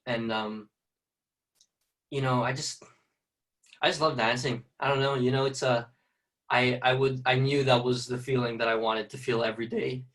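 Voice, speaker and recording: A man's voice: medium pitch, distant mic. A young man from the Philippines, a ballet dancer, talking casually in an interview with lots of fillers. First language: Tagalog. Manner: soft-spoken, many fillers and "you know".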